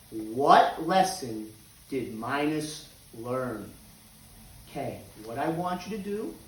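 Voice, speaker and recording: Male primary teacher for kids' read-aloud, somewhat high-pitched, measured phrasing, echoey room. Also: far from the mic, a little hiss or hum. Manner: deliberate, clearly spaced phrasing for children. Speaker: teacher reading aloud to students. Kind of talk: children's read-aloud and announcements to pupils.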